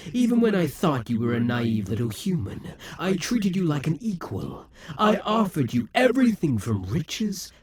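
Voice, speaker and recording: A haughty demon king of the audio drama, ages old and bent on revenge, as a man acts him out. His voice is deeply husky and breathy, drawn out slowly, with a lively rise and fall that sinks into hissing whispers. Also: no echo, full character voice. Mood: bitter. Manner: slow, theatrical, hissing whispers at times. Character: proud vengeful male demon king, centuries old. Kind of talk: audio roleplay acting.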